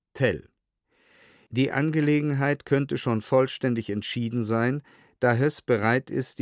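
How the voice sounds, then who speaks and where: A man reading historical documents aloud in a scripted, measured and precisely articulated documentary style. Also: medium pitch, no room sound, studio quality.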